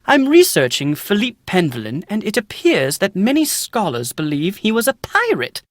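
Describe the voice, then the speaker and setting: Animated, pedantic bookish historian. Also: male voice, high-pitched, moderate pace, dry recording, clean studio sound. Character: bookish, pedantic male historian in a manor library. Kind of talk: game character dialogue.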